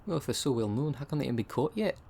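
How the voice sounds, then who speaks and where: A man's voice: medium pitch, big pitch swings, very fast, vocal fry, a little mellow, voice pushed up. Brief: male actor as cocky supernatural detective, slangy and irreverent, audio drama with faint noise behind. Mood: mocking. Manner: slangy, wisecracking, teasing. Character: brash headless dullahan detective, sarcastic, irreverent.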